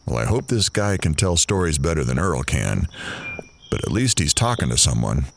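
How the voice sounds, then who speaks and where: Casting: a man as sardonic radio-drama eavesdropper, low voice with swinging intonation, dry muttering, slightly noisy track. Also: big pitch swings, normal speed, creaky, full character voice. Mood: exasperated. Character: grumbling hidden male watcher, sardonic, talking to himself. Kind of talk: audio drama acting, muttered monologue.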